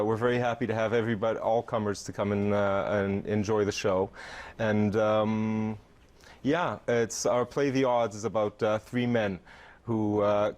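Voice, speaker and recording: A middle-aged man who grew up in Israel, a theater performer answering interview questions, pausing often with fillers. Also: on the low side. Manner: warm, hesitant with many fillers. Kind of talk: TV interview guest.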